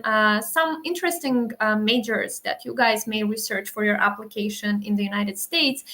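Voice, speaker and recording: A woman's voice: on the high side, a bit bright. A woman working as an education advisor, hosting an online webinar in a friendly way with frequent hesitant "uh" fillers, speaking into a computer mic on a video call.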